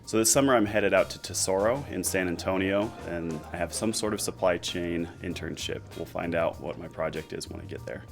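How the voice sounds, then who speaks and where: Deep voiced guy, relaxed plain answers, faint music. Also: brisk, creaky, hardly any pauses. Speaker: MBA student. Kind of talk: interview answers.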